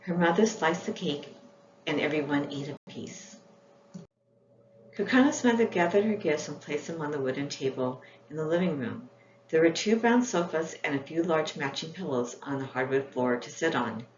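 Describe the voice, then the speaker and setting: A woman's voice: low-pitched, expressive, normal speed. A woman reading scripted children's storybook narration as its author. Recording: echoey room, dull sound, faint noise.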